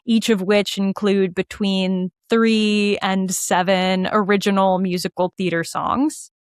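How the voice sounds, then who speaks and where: A woman with a clear, smooth voice at middle pitch talks casually as an interview guest. Her delivery is animated and informal. The recording is very dry. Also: slow pace, no room sound. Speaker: guest, musical theater writer and performer. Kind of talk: casual conversational interview answers.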